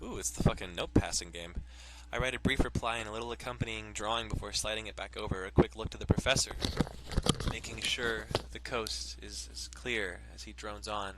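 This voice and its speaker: A man's voice: on the low side, a little fast, very noisy. A man hosting a let's play, reading game text aloud and cracking crude jokes between lines. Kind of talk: casual gameplay commentary and reading aloud.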